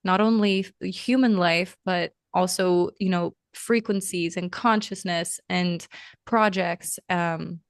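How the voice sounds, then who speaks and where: Guest: young woman raised in Lithuania and the US, smooth voice, dry close sound, earnest, rambling, lots of "um"s. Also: fairly low pitch, steady tone, takes it slowly, no echo. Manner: earnest, meandering, many fillers. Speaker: podcast guest. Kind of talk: casual interview conversation.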